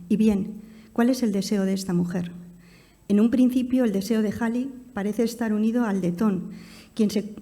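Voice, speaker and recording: A woman delivers a prepared academic talk. Her tone is soft-edged and formal, and she frames points as rhetorical questions. The hall adds a long reverberation to her words. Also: medium pitch. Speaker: academic conference speaker. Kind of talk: reading a scripted academic paper aloud.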